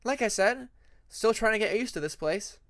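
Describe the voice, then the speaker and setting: An easygoing young female dragon student acted by a man talks in a lifted, higher voice. She is a considerate classmate from a storybook tale, heard dry and close. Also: lively intonation, normal speed, fairly bright tone, no echo. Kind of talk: fan fiction audiobook character voice.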